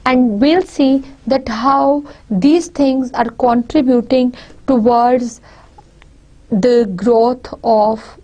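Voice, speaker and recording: Slow, mellow Pakistani woman lecturing. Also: fairly high pitch, fairly even intonation. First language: Punjabi. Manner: deliberate, repeats and restarts phrases. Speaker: university lecturer. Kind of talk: recorded lecture.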